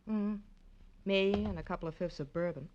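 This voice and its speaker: An actress playing a flirtatious, cynical American dame in a 1940s radio crime drama, wry and teasing. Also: female voice, on the low side, normal speed, darkish tone, dull audio, close to an everyday voice. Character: brassy, flirtatious, cynical dame, cool under pressure.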